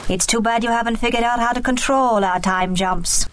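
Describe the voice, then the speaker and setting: A woman's voice: fairly high pitch, moderate pace, a little hiss or hum, natural voice. A woman playing an assertive heroine in a video game, pointed and direct in manner. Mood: wry. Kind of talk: video game cutscene acting.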